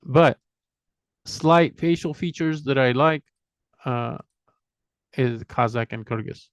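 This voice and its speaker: A man's voice: medium pitch, takes it slowly, no echo. A middle-aged man hosting a language channel, talking casually and rambling from one item to the next, poking fun at himself along the way. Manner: chatty, digressive, self-deprecating, lists items one by one.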